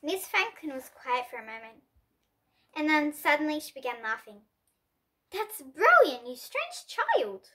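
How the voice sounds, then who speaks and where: A young girl reads a children's story aloud in a high, clear voice. She adds expression to the dialogue, lifting her tone for the different characters. The sound stays bright and sharp throughout. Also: sing-song, measured pace. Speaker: storybook reader. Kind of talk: reading a picture book aloud.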